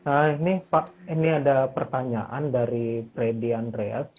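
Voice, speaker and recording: A man's voice: medium pitch, measured pace, breathy edge. A man hosting a casual interview, polite and respectful, with frequent fillers as he asks questions. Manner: polite, says "Pak", many fillers. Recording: tinny phone-like audio.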